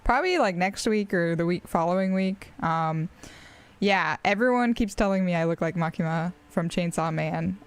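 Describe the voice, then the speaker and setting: A woman's voice: fairly low pitch, creaky. A woman in her twenties from Australia, speaking with an American accent and chatting casually on a live stream, rambling with frequent fillers and laughs.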